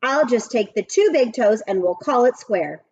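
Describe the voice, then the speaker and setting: A woman's voice: a little high, expressive, normal speed, darkish tone, slightly rough, dull audio. A woman reading a children's storybook, voicing a sly male schoolyard teacher who coaxes and bargains. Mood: dismissive bargaining. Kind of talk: children's storybook read-aloud.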